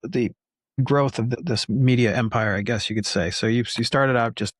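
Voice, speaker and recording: A man's voice: mid-range voice, normal speed, no room sound, studio quality. A man hosting a podcast interview in a casual, informal, self-deprecating way.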